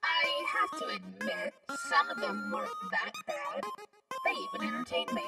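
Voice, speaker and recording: A woman's voice: very high-pitched, huge pitch swings, moderate pace, creaky, exaggerated voice. A woman voicing a small, murderous talking flower in a cartoon dub, sarcastic, taunting and theatrical. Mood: casual menace. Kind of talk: comic dub voice acting. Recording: music behind the voice.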